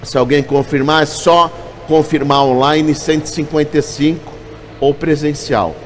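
Fast-chanting Brazilian auctioneer man. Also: fairly high pitch, fairly even intonation, slight background noise. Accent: Brazilian Portuguese. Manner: rattles off bids in fast repetitive chant, calling out raises. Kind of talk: rapid vehicle auction calling.

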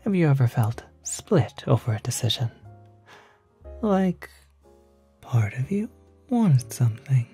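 Man as a breathy, soft-spoken courteous demon concierge. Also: mid-range voice, exaggerated voice. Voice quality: soft, breathy, half-whispered. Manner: polite, hesitant, gently persuasive. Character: courteous demon concierge, smooth-talking, quietly sinister. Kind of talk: ASMR roleplay.

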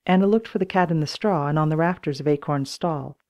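A woman's voice: sits low, fairly quick, darkish tone. A woman reading a children's story aloud as plain scripted audiobook narration. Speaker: audiobook reader.

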